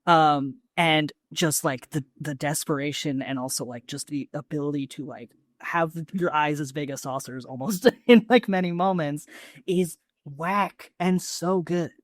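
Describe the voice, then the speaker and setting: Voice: medium pitch, measured pace, no echo, clean studio sound. A podcast co-host chatting casually and enthusiastically, with plenty of slang and swearing.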